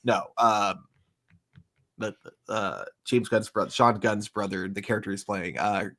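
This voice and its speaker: A man's voice: fairly low pitch, steady tone, measured pace, fairly bright tone. A man co-hosting a casual fan discussion show, hesitant as he searches for his words. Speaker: co-host of a live entertainment news show.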